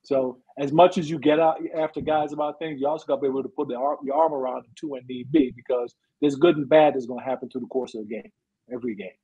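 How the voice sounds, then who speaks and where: Husky-voiced male football coach explaining. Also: mid-range voice, steady tone, fast talker, dark tone. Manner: explanatory, punctuates points with "right" and "you know". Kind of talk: conversational interview advice. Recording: dull, lo-fi sound.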